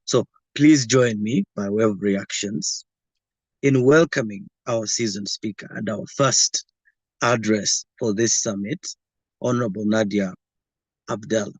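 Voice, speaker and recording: A man with a low, husky voice moderates an online session. He introduces the guest speaker formally and a little haltingly, over a dry, close sound. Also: dry recording, low-quality recording.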